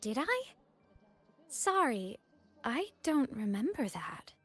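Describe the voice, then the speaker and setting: An actress playing a playful, breezy young college student in a video game, teasing and animated. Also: female voice, fairly high pitch, slow pace, breathy edge, heavily acted. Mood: puzzled. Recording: music under the voice.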